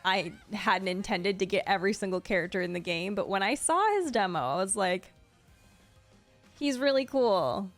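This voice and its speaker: A woman's voice: mid-range voice, normal speed, no echo. A woman giving casual, chatty reaction commentary as a video creator, rambling along with gushing asides. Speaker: video creator and game streamer. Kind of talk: casual reaction commentary, ranking game trailers. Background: faint music.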